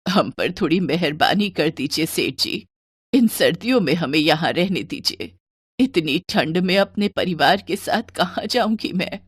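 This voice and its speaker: Older village mother-in-law, played by a woman, poor and worried, plaintive, expressive pitch. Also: measured pace, slightly rough, dry recording, studio quality. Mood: pleading, sorrowful.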